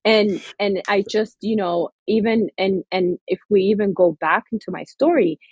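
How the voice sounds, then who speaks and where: A woman's voice: medium pitch, moderate pace, a little mellow, husky, dry recording, dull audio. A woman from Somaliland, an author and guest, talking earnestly and reflectively in an interview, with many fillers and restarts. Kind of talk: interview conversation.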